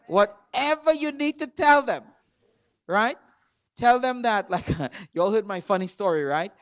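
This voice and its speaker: A man from Trinidad with a Trinidadian accent, preaching in a church. He stresses words hard, repeats himself and swings between loud and soft. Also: high-pitched, expressive, measured pace, smooth, no echo, clean studio sound. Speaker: guest preacher. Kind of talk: sermon. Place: church sanctuary.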